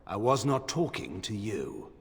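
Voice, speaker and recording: 홀에 울리는 위압적인 중년 남성 장군. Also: male voice, fairly low pitch, wildly swooping pitch, unhurried, gravelly, exaggerated voice. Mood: grave, urging. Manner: formal, cutting, oratorical. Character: stern, commanding middle-aged male regent and general, imperious. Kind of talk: dramatic fantasy game cutscene acting. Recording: long reverberant hall echo.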